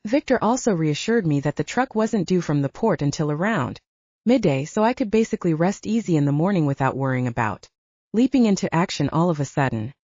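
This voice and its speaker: Mellow, low-pitched woman narrator. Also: lively intonation, a little fast, dry recording, dull audio. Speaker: audiobook narrator. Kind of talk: scripted first-person fiction narration.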